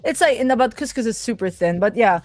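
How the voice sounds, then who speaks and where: A woman's voice: on the high side, animated, a little fast, darkish tone, no room sound. A woman talking casually and playfully on a livestream call from home, as a trader guest. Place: at home at a desktop computer.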